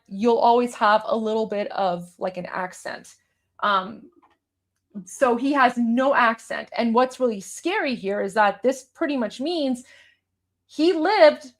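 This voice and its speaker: A woman with a Toronto accent hosting a live show, commenting on a clip in an animated way, repeating her points with many fillers. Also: fairly high pitch. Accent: Canadian, Toronto. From Canada. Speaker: host.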